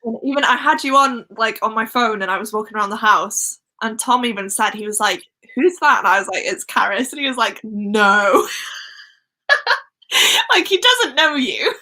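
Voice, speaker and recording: Bright-voiced young Welsh woman. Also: fairly high pitch, moderate pace. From Wales. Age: young adult. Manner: chatty and excitable. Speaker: host. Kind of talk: casual live-stream chat.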